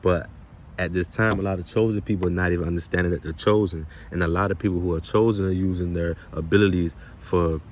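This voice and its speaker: A man's voice: sits low, fairly even intonation, fast, a little mellow, slight background noise. A man giving an informal, slangy spiritual monologue in an emphatic, repetitive manner, often posing rhetorical questions. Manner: casual and slangy with some swearing. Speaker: spiritual content creator and speaker.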